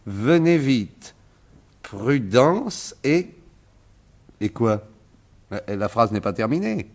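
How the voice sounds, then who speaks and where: An actor playing a polite, sceptical sidekick with a measured, questioning manner in a 1950s-style French radio mystery. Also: male voice, medium pitch, sing-song, exaggerated voice. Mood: puzzled, reading slowly.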